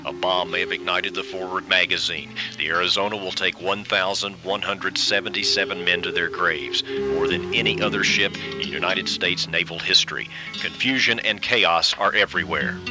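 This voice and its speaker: A low-pitched male narrator reads a radio documentary script with solemn, measured phrasing. Music plays clearly behind his voice. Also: steady tone, low-quality recording. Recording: radio broadcast with music bed. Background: background music.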